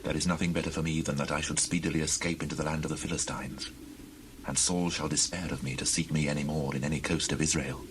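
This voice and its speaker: An actor playing an earnest, pious fugitive warrior in a dramatized scripture, speaking in solemn, formal, declamatory archaic phrases. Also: male voice, rumbling low, little pitch movement, fast talker, noisy background. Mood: brooding, resigned. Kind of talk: dramatized scripture audio acting.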